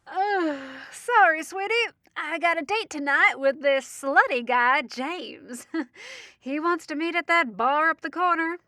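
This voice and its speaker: Flippant young best friend for audio drama: high-pitched, unhurried, teasing, guarded. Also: female voice, sharp tone, no echo, studio quality. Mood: groggy, flippant. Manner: teasing, breezy, uses pet names.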